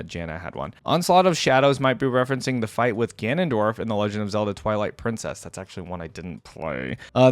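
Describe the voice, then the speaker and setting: A man's voice: medium pitch, dry recording. A man giving casual, informal reaction commentary as a video host, thinking aloud and at times reading out.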